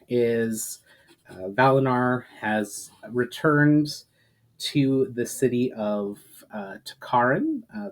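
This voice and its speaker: A man with a medium-pitched voice speaks as an author presenting his own fiction. His delivery is casual and a little hesitant in conversation, and measured and dramatic when he narrates as a storyteller. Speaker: author reading own book. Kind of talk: casual chat intro, then dramatic audiobook-style narration.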